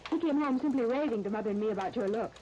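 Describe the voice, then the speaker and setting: Eager young flatterer woman acting: high-pitched, effusive 1930s radio soap style, muffled old broadcast recording. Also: very fast, close to an everyday voice. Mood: breezy, coy. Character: gushing, ingratiating, eager young woman aspiring dress designer. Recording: dull, crackly 1939 radio disc.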